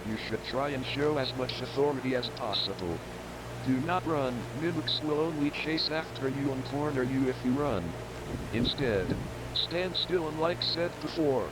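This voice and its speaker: A man giving scripted instructional narration in a formal, explanatory way. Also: medium pitch. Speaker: narrator of horror creature guide. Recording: very loud background noise.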